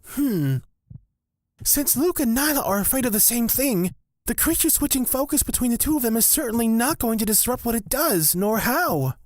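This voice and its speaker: A woman plays a calculating young-sounding witch-cleric in a fantasy audio drama, with a fairly high voice in a dry, close recording. The delivery is deliberate and wry, as if working out a plan aloud. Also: expressive, a little mellow, no room sound. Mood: pensive, dry.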